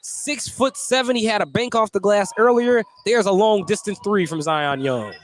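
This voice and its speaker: A man's voice: high voice, moderate pace, a little hiss or hum. A man calling a live basketball game on the radio as the play-by-play announcer. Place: at courtside or press position in a gym during a game.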